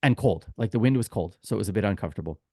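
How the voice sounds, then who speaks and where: A man's voice: fairly low pitch, lively intonation, racing, a little mellow, no room sound, clean studio sound. A man co-hosting a casual sports podcast, telling anecdotes in a chatty, informal way and acting out exchanges. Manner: chatty, informal storyteller who acts out quoted exchanges. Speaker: podcast co-host and tour guide.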